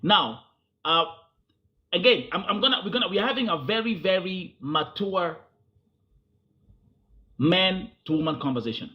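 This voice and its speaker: A man's voice: sits high, lively intonation, unhurried, long pauses, muffled recording. A man with a Kenyan accent hosting a confrontational interview, insistent and often repeating phrases as he presses his point. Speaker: host.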